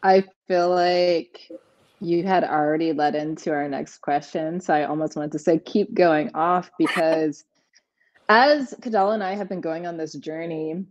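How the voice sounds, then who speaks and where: A woman moderating a talk, asking long, winding questions in a relaxed conversational way. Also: low voice, a little slow, no room sound, lo-fi sound. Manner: long rambling questions with light laughs.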